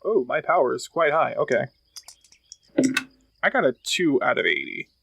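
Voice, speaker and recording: A man chats casually as a tabletop game player. His voice is mid-pitched, and the recording carries clear noise with some clicking. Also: very animated, normal speed, fairly bright tone, muffled recording.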